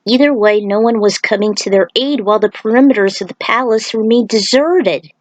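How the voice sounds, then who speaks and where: A woman's voice: on the high side, brisk, dry recording. A woman reading an action story aloud as a narrator, with casual asides. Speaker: fan fiction author reading aloud.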